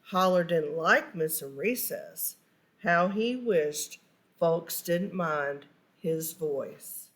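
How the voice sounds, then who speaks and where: A woman reading a children's storybook aloud with expressive narration and deliberate, animated emphasis on key words. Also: on the low side. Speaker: storybook reader.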